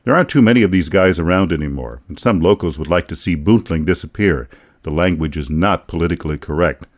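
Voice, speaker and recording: A man with an American accent and a very low voice reads scripted documentary narration. He tells it like a folksy storyteller, with a dry wit running through the delivery. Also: quick pace. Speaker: radio feature narrator and author.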